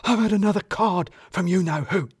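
A man's voice: high voice, fairly quick, slightly rough, no echo, studio quality, voice pushed up. A man voicing a jittery, self-important male office manager who hisses and talks melodramatically. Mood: manic. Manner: melodramatic, hissing, manic.

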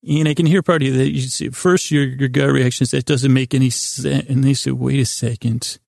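A man with an extremely creaky, gravelly voice hosts a bedtime podcast in a very dry recording. His improvised monologue is halting and meandering, full of self-deprecating digressions. He often drifts into imagined exchanges, voicing both sides as himself. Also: mid-range voice, fairly even intonation, darkish tone, no echo. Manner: acts out imagined back-and-forth dialogue in his own voice. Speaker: podcast host.

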